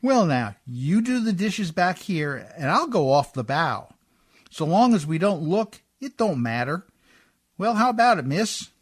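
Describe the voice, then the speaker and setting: An actor playing a rough but polite riverboat man in a radio play, husky, with folksy, ungrammatical, deferential speech. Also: high-pitched, sing-song, a little slow, full character voice. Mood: folksy, easygoing. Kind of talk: old-time radio play acting.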